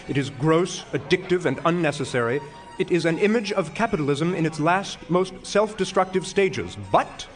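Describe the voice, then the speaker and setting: An actor playing a pompous, self-dramatizing retired philosophy professor in a stage comedy, speaking in wordy, self-mocking speeches. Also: male voice, a little high. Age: older. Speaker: actor in an audio play.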